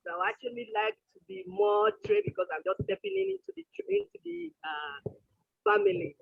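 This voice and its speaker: High gravelly woman on a tinny call. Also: big pitch swings, a little fast, no room sound. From Nigeria. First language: Yoruba. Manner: hesitant, with fillers and restarts. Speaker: lecturer introducing herself. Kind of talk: self-introduction at an online conference. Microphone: video call. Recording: tinny phone-like line.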